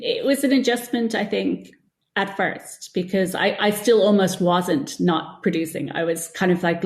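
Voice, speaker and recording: A woman with a slightly low voice talks as an interview guest in a noticeably roomy, echoey space. She tells things as anecdotes, playing down her own part and voicing other people's remarks as she goes. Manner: self-deprecating, anecdotal, acts out reported remarks. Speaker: guest author and former TV producer. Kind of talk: conversational interview answers.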